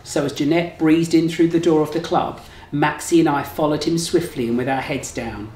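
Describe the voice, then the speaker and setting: A man's voice: on the high side, fairly even intonation, moderate pace, a little mellow. An English man reading expressively from his own novel in a first-person storytelling style. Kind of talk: scripted first-person narration, audiobook reading. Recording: roomy echo, faint music behind.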